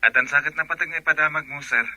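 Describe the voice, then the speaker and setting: Give me an actor as a sharp-voiced, deferential Filipino underling. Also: male voice, a little high, rapid-fire. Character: deferential subordinate man answering his superior. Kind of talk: Filipino radio drama acting.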